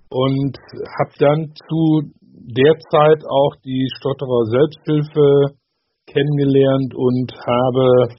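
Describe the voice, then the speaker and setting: A man's voice: mid-range voice, slight background noise. A man who works as a social worker, stuttering with many fillers and restarts as a radio guest. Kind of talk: radio interview. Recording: dull lo-fi radio sound.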